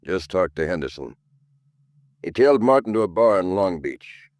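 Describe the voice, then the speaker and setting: A man playing a stern, brisk police skipper giving orders in a 1950s radio crime drama. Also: deep voice, big pitch swings, darkish tone, no room sound. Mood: urgent, gruff. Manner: brisk, authoritative.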